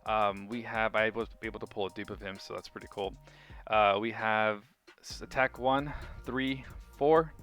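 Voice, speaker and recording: A man's voice: fairly low pitch, fairly even intonation, moderate pace, fairly bright tone, faint music behind. A man giving a casual game guide commentary as a video host, chatty and informal, thinking aloud as he goes.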